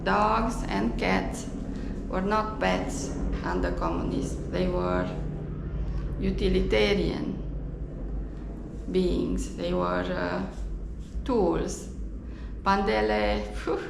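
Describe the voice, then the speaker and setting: A woman from Romania with a Romanian accent tells a personal story in a halting, reflective way, recorded on an action camera in a roomy space. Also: mid-range voice, expressive, gravelly. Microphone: GoPro camera. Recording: roomy echo, faint background noise.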